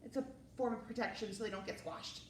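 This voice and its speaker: A woman's voice: a little high, quick pace. A woman presenting story time for young children, reading aloud and chatting in a singsong, encouraging way. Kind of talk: children's read-aloud and chat to young viewers. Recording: roomy, distant mic, faint background noise.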